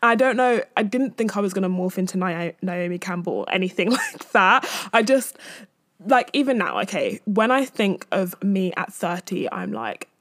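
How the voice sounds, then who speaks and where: A woman's voice: mid-range voice, expressive, normal speed, no echo. A woman in her twenties chatting casually and candidly on a solo podcast, rambling in a self-amused way into a close mic. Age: 20s. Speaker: podcast host.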